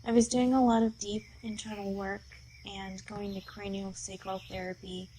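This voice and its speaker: A woman with a mid-pitched voice tells the story of a dream in a soft, storytelling way. Her voice sometimes drops toward a whisper. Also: level tone, moderate pace, a little hiss or hum, low-quality recording. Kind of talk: recounting a dream.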